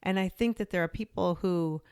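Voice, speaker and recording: A woman in her forties talks through her career as a podcast and media coach. She chats freely, restarting sentences and dropping in "you know" and "like". Her voice sits a bit low with a gravelly fry, recorded dry and close. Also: moderate pace, a little mellow, no room sound. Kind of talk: casual interview storytelling.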